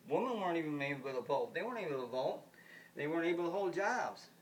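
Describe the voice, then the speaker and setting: A man's voice: fairly high pitch, animated, fast talker. A man in his fifties from the United States, rambling through a solo monologue, often repeating himself and circling back to the same points. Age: 50s. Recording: distant mic with faint background noise.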